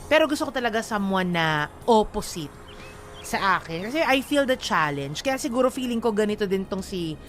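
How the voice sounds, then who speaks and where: A woman's voice: mid-range voice, lively intonation, moderate pace, faint music behind. A Filipino woman co-hosting a radio show, chatting casually and slipping into English. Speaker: radio DJ co-host. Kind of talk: casual chat on a love-story radio show.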